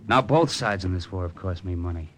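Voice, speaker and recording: A tough, cynical gambler played by a male actor in an old radio drama. The voice sits low and talks in terse, hard-boiled slang, with a soft spot underneath. The recording is old and has light noise behind it. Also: fairly quick, natural voice. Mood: resigned, dry. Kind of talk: 1950s radio drama acting.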